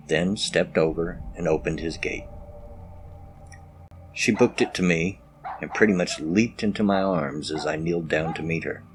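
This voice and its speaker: A man with an extremely deep voice reads scary stories in the first person. He works as a storyteller, with music playing clearly behind him. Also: low-quality recording. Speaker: story narrator.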